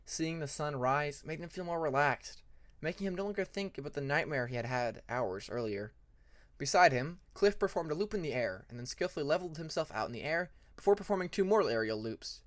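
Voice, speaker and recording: A narrator reading fan fiction aloud in an expressive audiobook style. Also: medium pitch, brisk.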